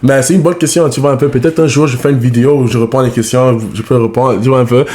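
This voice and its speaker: A man whose first language is English, reacting in casual French, halting and repeating words, with English fillers. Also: mid-range voice, steady tone, darkish tone, echoey room. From Canada. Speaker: reaction streamer.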